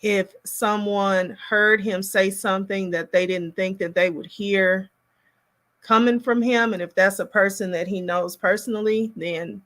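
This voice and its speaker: Slow-talking woman, casual livestream commentary. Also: mid-range voice, a bit bright. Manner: halting, musing, thinks aloud. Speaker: host of a reaction livestream.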